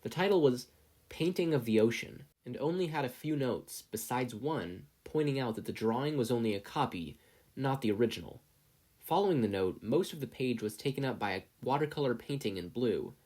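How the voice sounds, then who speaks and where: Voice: medium pitch, animated, brisk, darkish tone. A narrator reading scripted fiction aloud as an audiobook-style story. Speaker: podfic narrator.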